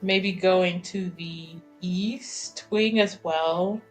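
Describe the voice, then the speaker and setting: A woman's voice: fairly low pitch, drawn-out words, faint music behind. A woman playing a tabletop game, chatting casually and expressively about what her character does. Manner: expressive, sweary, informal.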